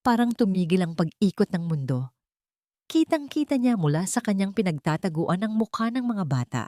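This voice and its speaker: Woman telling a love story, kinda low voice, dry close sound, dramatic reading. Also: a little mellow, no room sound. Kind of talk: scripted audio-drama narration.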